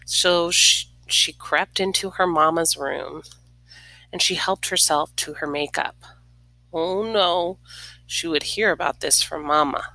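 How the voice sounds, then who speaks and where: A woman reads a picture book aloud at a very slow, expressive pace. Her voice is bright yet creaky, with dramatic pauses that can fall toward a whisper and a few casual asides. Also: low voice, dry recording, rough recording. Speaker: storybook reader.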